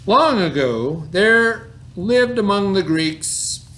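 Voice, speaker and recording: A man's voice: sits high, very animated, slow, bright, forceful tone. A man speaking as a schoolteacher in an informal lesson for a child, explaining things conversationally and checking for understanding. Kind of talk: informal read-aloud and vocabulary lesson for a child.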